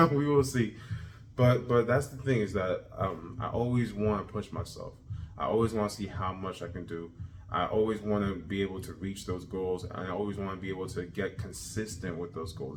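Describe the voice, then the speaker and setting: Thirty-year-old man, low voice, upbeat self-motivated vlogger, light background noise. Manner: energetic, repetitive, self-motivating. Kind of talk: casual self-improvement video journal.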